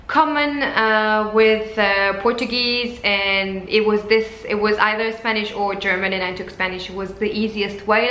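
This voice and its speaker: A woman's voice: medium pitch, fairly bright tone. A woman in her twenties from France, a flight attendant chatting casually to camera in English, French being her first language, with lots of fillers and restarts. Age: 20s. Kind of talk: casual talking-to-camera vlog. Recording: echoey room with faint background noise.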